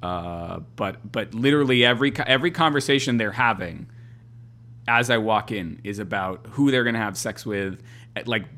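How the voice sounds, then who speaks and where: Rambling bright-voiced male co-host. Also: on the low side, moderate pace. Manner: rambling, hesitant, with frequent restarts. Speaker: podcast co-host. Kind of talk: casual chat.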